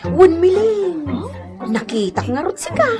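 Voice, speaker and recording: A woman's voice: very high-pitched, big pitch swings, measured pace, music in the background, full character voice. A woman with an Ilocano accent playing an insistent, gossipy village woman in a radio comedy drama, exclamatory and dramatic. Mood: gossipy, scandalized.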